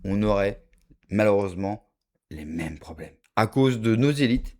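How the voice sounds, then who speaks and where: A man's voice: fairly low pitch, expressive, unhurried, a little mellow. A man from France giving an emphatic opinion monologue to his viewers, full of rhetorical asides and direct address. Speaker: political video commentator.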